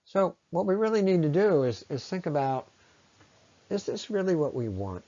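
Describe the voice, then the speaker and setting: A man giving a persuasive, semi-scripted public presentation, speaking in an earnest, deliberate way with emphatic phrasing. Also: fairly high pitch, sing-song, dark tone, muffled recording. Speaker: speaker presenting against a road project.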